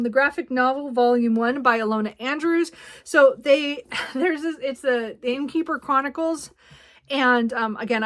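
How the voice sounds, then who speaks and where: High-voiced woman, chatty book vlogger. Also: a bit bright. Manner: giggly, effusive, rambling. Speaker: book reviewer and vlog host. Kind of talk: casual, chatty book talk to camera.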